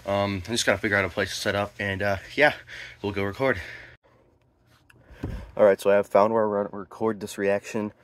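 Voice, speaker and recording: A man's voice: fairly low pitch, little pitch movement, brisk, long pauses, no echo, clean studio sound. A teenage male vlogger chatting casually in a laid-back, informal way into a handheld phone. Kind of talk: casual vlog chat. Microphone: phone at arm's length. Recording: noisy handheld phone recording.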